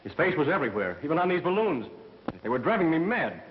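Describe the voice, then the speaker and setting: An actor with an American accent playing a bitter, haunted young murderer confessing in a 1930s film melodrama. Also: male voice, on the high side, sing-song, fast. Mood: anguished. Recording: muffled, hissy 1930s film soundtrack.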